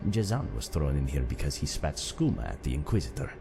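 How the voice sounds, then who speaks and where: Khajiit thief for fantasy game: extremely low voice, wry and fatalistic, third-person talker, music underneath. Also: male voice, very animated, fairly quick, gravelly, heavily acted. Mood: wry, indignant. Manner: refers to himself in the third person. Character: imprisoned male Khajiit cat-man thief, wry and fatalistic. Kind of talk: fantasy game character dialogue.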